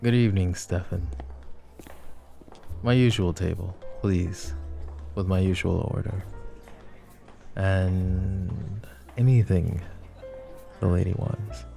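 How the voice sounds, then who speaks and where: Roleplay audio: a man as a smug mafia boss, low gravelly purr, warm tone, faint music under. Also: fairly even intonation, takes it slowly, long pauses, full character voice. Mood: commanding. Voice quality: gravelly, teasing, soft-spoken. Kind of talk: ASMR roleplay acting.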